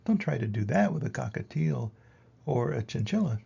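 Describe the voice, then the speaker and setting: Gravelly older man reading a storybook, very animated. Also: medium pitch, muffled recording. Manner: expressive, dramatic pauses and emphasis. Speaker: reader of children's picture books. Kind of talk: animated storybook read-aloud.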